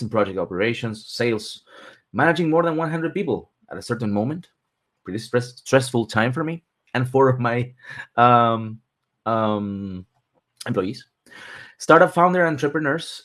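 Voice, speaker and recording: A man, hosting a webinar and working through lists point by point with pauses between items. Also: mid-range voice. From Argentina. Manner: steady, list-like delivery with pauses between items. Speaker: webinar host and relocation consultant. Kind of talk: presenting a webinar.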